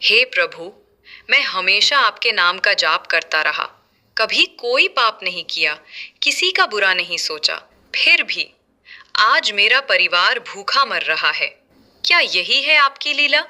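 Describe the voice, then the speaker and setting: Woman voicing a humble, slow-spoken devout Brahmin man. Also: mid-range voice, sing-song, pushed and bright, exaggerated voice. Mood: pleading.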